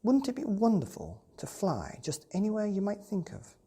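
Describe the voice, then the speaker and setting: Woman as an eager, husky little boy. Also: mid-range voice, huge pitch swings, normal speed, warm tone, pitched above the performer's own voice, full character voice. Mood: eager, excited. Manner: earnest, eager. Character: eager, kind little boy who dreams of flying.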